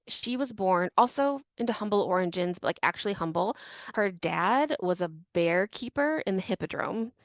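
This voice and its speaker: A woman's voice: medium pitch, no room sound. A young woman co-hosting a podcast, casually telling a history story with many fillers and frequent laughs. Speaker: podcast co-host.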